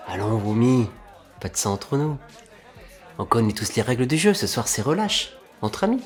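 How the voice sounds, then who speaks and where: A man playing a composed, scheming crime clan member in an audio drama, speaking in a measured, conspiratorial manner. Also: mid-range voice, very animated, soft background music, natural voice. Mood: placating.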